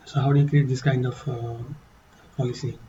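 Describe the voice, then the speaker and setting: A man with an accent walking through a software demo in a halting, casual way, with many fillers and restarts. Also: medium pitch, little pitch movement, lo-fi sound, slight background noise. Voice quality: non-native English accent. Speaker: tutorial presenter. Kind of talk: casual screen-share walkthrough.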